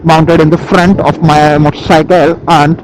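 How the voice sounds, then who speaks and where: A man with an Indian accent giving a casual, halting product review as a motovlogger, speaking into a helmet intercom microphone. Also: fairly high pitch, flat delivery. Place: outdoors, riding a motorcycle. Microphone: Bluetooth helmet intercom microphone. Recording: helmet intercom mic with vehicle noise.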